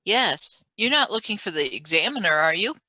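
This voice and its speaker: Woman as a cool, bright-voiced schemer. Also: fairly low pitch, very animated, normal speed, no room sound, heavily acted. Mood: smooth, guarded. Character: cool, scheming female lab assistant and conspirator. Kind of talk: radio play script reading.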